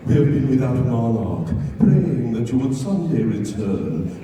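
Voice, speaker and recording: A man's voice: medium pitch, big pitch swings, mellow, natural voice. A man playing a solemn, deferential, formal royal courtier in a live comic fairy-tale reading. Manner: slow, formal, grave. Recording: distant echoey hall with faint noise.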